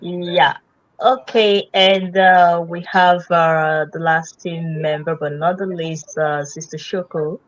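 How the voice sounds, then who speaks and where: A woman's voice: lowish voice, steady tone, slow, no echo, muffled recording. A woman hosting a casual live-streamed business chat from a video call, speaking with many fillers. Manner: warm greetings with frequent fillers. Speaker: host.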